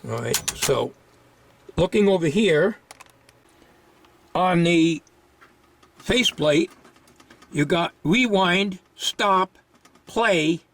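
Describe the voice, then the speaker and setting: A man giving an informal, unscripted hands-on repair demonstration, thinking aloud in a halting way. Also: high voice, animated, gravelly, a little hiss or hum.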